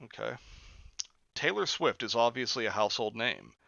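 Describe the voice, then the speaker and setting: A man hosting a live stream, reading a book aloud in a flat, mocking way and breaking off for sarcastic asides. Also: mid-range voice, moderate pace, gravelly, no room sound, highs cut off. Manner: sarcastic asides between read passages.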